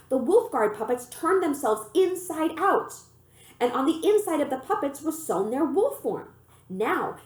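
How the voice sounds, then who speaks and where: Animated woman reading a children's story, echoey room. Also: fairly high pitch. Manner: animated storytelling, acts out the dialogue. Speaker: library reader of children's books. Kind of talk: expressive read-aloud of a children's novel.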